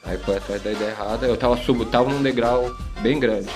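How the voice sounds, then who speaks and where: A man's voice: medium pitch, music in the background. Man in his forties telling his story. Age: 40s. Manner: plain halting storytelling with restarts. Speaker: guest in treatment. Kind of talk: personal testimony.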